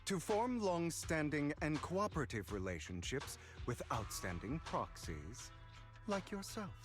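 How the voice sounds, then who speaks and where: A man playing a suave, theatrical and courteous phantom thief in a video game, speaking in smooth, elaborately polite lines over music. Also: a little high, big pitch swings, unhurried. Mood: smooth, persuasive. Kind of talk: scripted video game voice acting. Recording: music under the voice.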